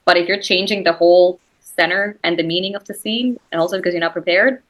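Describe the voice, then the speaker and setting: A woman's voice: lowish voice, fairly even intonation, quick pace, a bit bright, low-quality recording. A woman with a Swiss German accent, whose first language is Swiss German, speaking as an actress guest in a casual interview, chatty and full of "like" and "you know". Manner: chatty, lots of "like" and "you know", laughs easily.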